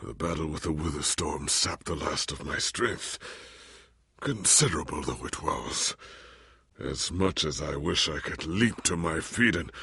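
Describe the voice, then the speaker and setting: An actor playing a weary, confused, humbled warrior hero in a video game, raspy, with formal and earnest dramatic phrasing. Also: male voice, extremely low pitch, measured pace, bright, forceful tone, exaggerated voice. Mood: weak, regretful. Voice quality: raspy, gravelly.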